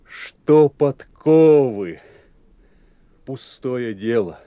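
An actor playing a proud young village blacksmith who talks in an earnest, boastful way, in a radio play. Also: male voice, medium pitch, expressive, drawn-out words, clear, resonant tone, no echo, phone-quality sound. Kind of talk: 1980s radio play acting.

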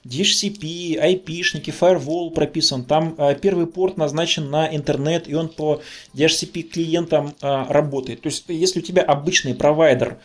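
A man's voice: fairly high pitch, fairly even intonation, normal speed. A man explaining technical matters in an enthusiastic podcast talk. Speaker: podcast speaker on networking.

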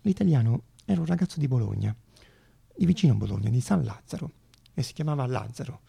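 Gravelly male stage storyteller, raspy and mellow. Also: mid-range voice, lively intonation. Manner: dramatic delivery with deliberate pauses. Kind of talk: theatrical monologue storytelling.

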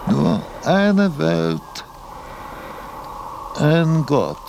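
A frail old thinker in a radio play, dragging slowly through his thoughts. The voice is fairly high for a man and gravelly, breaking into halting fragments over clearly noisy ambience. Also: animated, a little mellow, full character voice. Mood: solemn, searching. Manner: halting, broken phrases with long pauses. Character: frail aged philosopher, laboriously dictating his abstract thoughts. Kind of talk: slow, halting radio-drama monologue.

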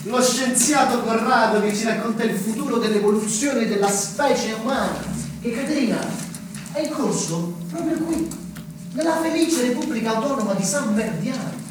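A man's voice: extremely high pitch, normal speed. Sardonic small-town guy, echoey and distant. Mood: mocking. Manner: animated, sardonic, repetitive storytelling. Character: bitter, sarcastic small-town man running a farm guesthouse. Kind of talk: naturalistic stage acting in Italian theatre. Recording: very echoey, distant, noisy stage recording.

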